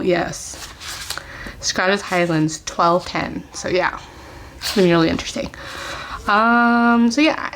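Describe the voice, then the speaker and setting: A woman chatting casually to camera as a book vlogger at home, with soft laughs and whispered asides. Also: fairly low pitch, a little hiss or hum. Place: at home, family in another room.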